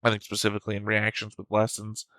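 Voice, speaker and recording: Man, low voice, flat delivery, dry close mic, rambling notes, self-correcting. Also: fast talker, no echo. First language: English. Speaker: anime reaction channel host. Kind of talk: reading his pre-episode notes and theories.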